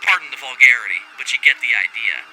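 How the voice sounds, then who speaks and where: A man's voice: medium pitch, lively intonation, fast talker, sharp tone, poor audio. A man playing a foul-mouthed, rebellious scientist in a sci-fi audio drama, delivering a rambling, wry and theatrical recorded log that keeps interrupting itself. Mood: cocky, defiant. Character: brash, foul-mouthed rogue male scientist, giddy and rebellious. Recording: faint background alarm and machinery noise.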